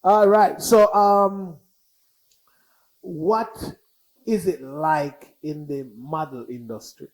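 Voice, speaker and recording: A man hosts the chat with a fairly high voice. He is playful and teasing, and mixes local patois into his questions. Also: fairly even intonation, slow pace, pauses often, low-quality recording. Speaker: interview host. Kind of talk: casual interview chat.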